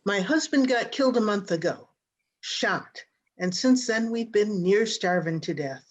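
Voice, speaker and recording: A woman's voice: medium pitch, animated, measured pace. A woman playing a worried, hard-up frontier widow in a radio western, with plain rural, hesitant, apologetic speech. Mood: worried, pleading. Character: worried, starving frontier widow searching for her son. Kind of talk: old-time radio western acting. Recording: dull, lo-fi video-call audio.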